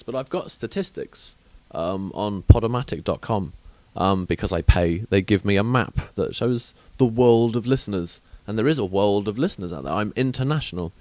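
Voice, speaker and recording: Rambling, frank English podcast host. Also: male voice, mid-range voice, a little mellow, no room sound. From England. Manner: frank, awkward, rambling with many fillers and laughs. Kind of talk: casual rambling chat.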